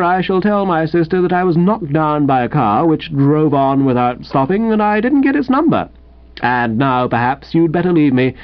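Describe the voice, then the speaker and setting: A man acts out a smug young upper-class English gentleman for a comic audiobook, languid and patronizing. The voice sits high and swoops freely in an affected drawl, on slightly dull audio. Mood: airily dismissive.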